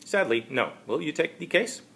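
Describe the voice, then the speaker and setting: Man voicing a lively, stiltedly formal time traveler. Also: on the high side, moderate pace, gravelly, a little hiss or hum, voice pushed up, full character voice. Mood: earnest, formal. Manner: stilted, overly formal wording. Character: eccentric male time traveler, stiltedly formal and oddly polite. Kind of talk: amateur storybook reading of character dialogue.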